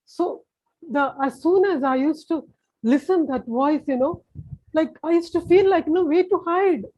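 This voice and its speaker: A woman with an Indian accent giving a personal religious testimony as an interview guest, with halting, repetitive phrasing. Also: high voice, lo-fi sound.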